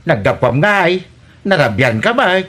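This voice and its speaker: A woman as a low-voiced disapproving relative. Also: big pitch swings, a little slow, fairly bright tone, breathy edge, heavily acted. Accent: Ilocano. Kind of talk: Ilocano radio comedy drama acting.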